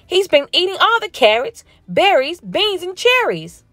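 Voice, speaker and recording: A woman voicing an eager young male farm dog who yelps in shrill, excited shouts. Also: a little slow, no echo, heavily acted. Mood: urgent.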